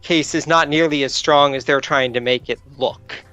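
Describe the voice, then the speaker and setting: Guy reasoning through a tabletop game, kinda high voice, dull close dry mic, music behind. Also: fairly even intonation, normal speed, bright, forceful tone, smooth, no echo. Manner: analytical, reasoning aloud. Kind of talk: casual game discussion.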